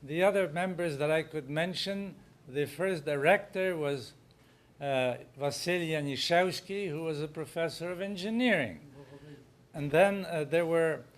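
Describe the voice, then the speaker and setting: A man giving a talk at a conference, speaking loudly and projecting to the hall. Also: on the high side. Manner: unhurried, loud and projecting.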